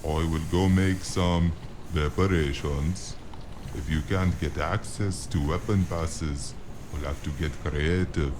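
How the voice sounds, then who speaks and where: Smooth criminal broker played by a man, low and slow, amused and patronizing, cyberpunk audio drama. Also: fairly even intonation. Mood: businesslike. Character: smooth, amused, condescending male underworld broker. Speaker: voice actor. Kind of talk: noir audio drama acting. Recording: clearly noisy with ambient sound behind.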